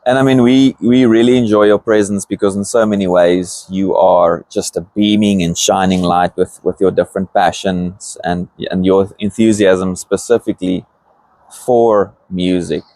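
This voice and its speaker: Low-voiced affirming church interviewer man. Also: fairly even intonation, moderate pace, dark tone, no room sound. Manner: affirming, reflective, thinks aloud with fillers. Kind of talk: casual interview.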